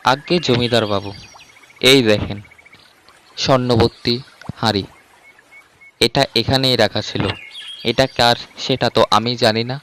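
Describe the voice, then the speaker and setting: A man plays a poor, honest farmer in a cartoon tale. His medium-pitched voice is deferential and earnest, with light background noise and birds. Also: steady tone, unhurried, long pauses, a bit bright, natural voice. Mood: humble, respectful. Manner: respectful, earnest. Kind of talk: cartoon story dialogue.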